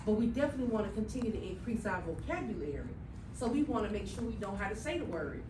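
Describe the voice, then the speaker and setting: A woman's voice: mid-range voice, very animated, fast talker, background noise. A woman teaching a middle school class, emphatic and checking in with students often. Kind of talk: classroom instruction. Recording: echoey classroom, mic far off.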